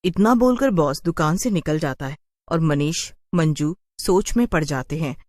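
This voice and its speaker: A woman's voice: low voice, animated, normal speed, darkish tone, dry recording, studio quality. A woman reading a scripted horror story as its narrator.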